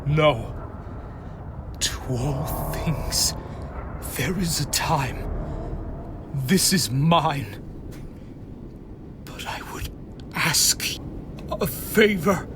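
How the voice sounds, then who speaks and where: A noble, self-sacrificing hero near death, played by a man speaks earnestly in a fairly high male voice. His delivery is extremely slow and swings expressively, in comic-dub drama style. Music plays underneath. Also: animated. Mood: resigned.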